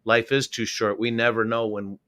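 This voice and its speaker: A man's voice: medium pitch, little pitch movement, moderate pace, pushed and bright. A man co-hosting a live internet radio talk show, earnest and heartfelt as he gives sincere advice to listeners. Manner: earnest, speaks in sincere pep talks. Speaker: co-host. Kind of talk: casual chat.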